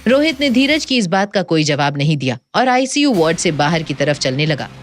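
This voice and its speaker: A woman's voice: deep voice, very animated, fairly quick, slight background noise. A woman narrator giving a dramatic scripted narration of a serial story.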